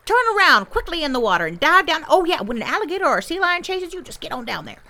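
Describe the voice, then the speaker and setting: A woman voicing a kindly, energetic old grandpa beaver in a children's story, puffing as he instructs. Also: high voice, fast talker, a little hiss or hum, voice pushed up, full character voice. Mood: hearty, urging.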